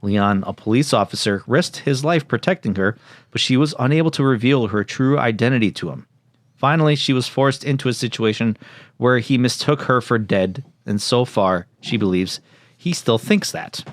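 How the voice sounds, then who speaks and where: A man's voice, slightly low and recorded very dry. He is a podcast co-host who reads story summaries and breaks off for jokey riffs. The delivery is conversational. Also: lively intonation, moderate pace, no room sound. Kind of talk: reading a plot summary with joking asides.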